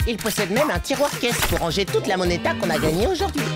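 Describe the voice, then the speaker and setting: A woman's voice: mid-range voice, big pitch swings, fast talker, loud music behind the voice, natural voice. A woman voicing a sensible, anxious, inventive kid blob in a cartoon dub, with a fussy, dramatic manner. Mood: proud. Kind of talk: animated cartoon dub for teens.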